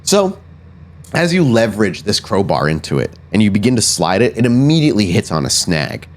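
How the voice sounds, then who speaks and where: A man's voice: lowish voice, very animated, a little fast. A man acting as game master, improvising descriptive narration for a tabletop game in a conversational way.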